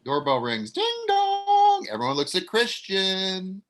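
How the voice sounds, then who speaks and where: A man's voice: sits high, wildly swooping pitch, a little slow. A man reading stage directions and coaching actors at a table read.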